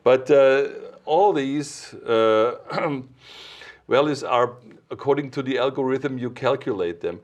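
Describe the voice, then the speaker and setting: A man giving an informal technical explanation as an expert, in halting, piecemeal phrases. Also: mid-range voice.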